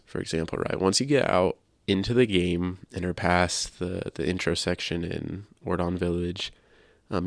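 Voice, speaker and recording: A man with a low voice and plenty of vocal fry, recorded dry and close. He talks as a podcast co-host, explaining his opinions in a measured, conversational way. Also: no room sound. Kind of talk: casual discussion of video games.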